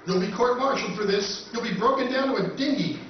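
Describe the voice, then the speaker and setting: A man's voice: very high voice, fast, slight background noise. A man playing a stern, impatient soldier, brusque and commanding in old radio drama style. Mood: commanding, indignant. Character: stern, bossy soldier, impatient with his partner. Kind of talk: 1950s radio drama acting. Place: live convention stage performance. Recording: distant, echoey hall, dull sound.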